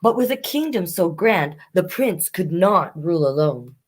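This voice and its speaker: Lower-voiced woman reading picture books aloud. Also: normal speed, slightly rough. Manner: expressive sing-song reading, chatty asides to young listeners. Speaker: children's storytime host.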